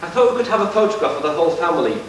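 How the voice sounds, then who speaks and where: Recorded from far off in an echoing hall, a man acts a grumbling pantomime king. His highish voice swings widely as he declaims, bossy and sardonic. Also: expressive, rapid-fire, lightly acted. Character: grumbling bossy king, exasperated father of lazy prince. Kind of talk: amateur pantomime stage acting. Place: church pantomime stage, far camera. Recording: echoey distant noisy amateur stage video.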